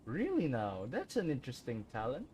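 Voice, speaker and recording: A man's voice: medium pitch, wildly swooping pitch, moderate pace, heavy background noise. A man casually commenting and reacting as a streamer playing through a video game. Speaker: gaming streamer. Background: game music.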